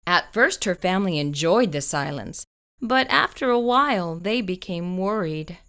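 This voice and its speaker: A woman reading a children's picture book aloud, slow and expressive. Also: fairly low pitch. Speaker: children's storyteller.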